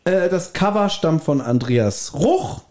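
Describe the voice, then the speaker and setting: A man's voice: a little high, animated, takes it slowly, a little mellow. A man hosting a podcast in casual chat, rambling along with self-deprecating remarks. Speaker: podcast host.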